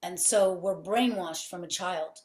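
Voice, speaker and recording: A woman's voice: fairly low pitch, measured pace, darkish tone. A woman guest on a podcast who runs a healing center. She speaks fervently and with emphasis, piling up repeated phrases. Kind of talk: conversational interview. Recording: roomy echo.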